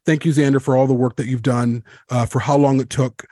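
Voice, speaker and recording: A man's voice: medium pitch, fairly even intonation, a little fast, mellow, dry recording, clean studio sound. A man hosting a podcast roundtable, talking and reading out written replies. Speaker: podcast host.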